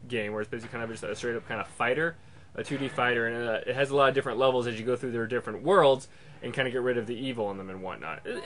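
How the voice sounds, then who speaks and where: Fast-talking man reviewing video games. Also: medium pitch, a bit bright, a little hiss or hum. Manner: rambling, loosely worded. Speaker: video game reviewer, host. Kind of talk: casual unscripted review commentary.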